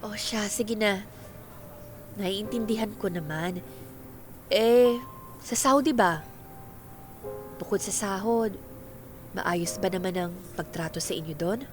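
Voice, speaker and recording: A woman acting in a Filipino radio drama as a chatty, teasing married best friend with an expressive, gossipy manner. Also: medium pitch, slow, pauses often, music in the background, natural voice. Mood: curious, teasing.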